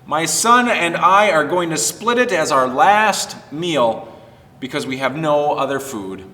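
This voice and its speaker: Echoey room, guy doing a sad apologetic widow from a kids bible story, voice a little high. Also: animated, a little slow, sharp tone, recorded from a distance. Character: kind, sorrowful poor widow in a children's Bible story. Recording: reverberant, echoing room.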